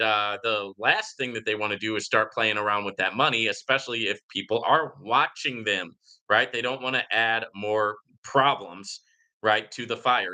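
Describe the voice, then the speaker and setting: Bright, forceful man hosting a casual livestream. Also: lowish voice, poor audio. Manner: conversational, repeatedly checks in with "right?". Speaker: livestream host answering viewer questions. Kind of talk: casual live Q&A monologue, reading comments aloud.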